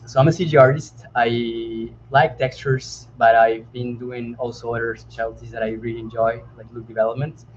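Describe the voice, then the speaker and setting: Monotone man guest, polite and halting, dull muffled audio. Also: mid-range voice. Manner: halting, many fillers, polite. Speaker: guest, CG artist. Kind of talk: panel self-introduction. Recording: dull, muffled line with faint noise.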